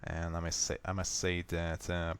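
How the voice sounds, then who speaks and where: A man with a Hungarian accent, a native Hungarian speaker, calmly walking viewers step by step through a software fix in a screen recording. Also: sits low, a little hiss or hum. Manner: unhurried, plain, step-by-step explaining. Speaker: software tutorial maker. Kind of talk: screen-recorded how-to walkthrough.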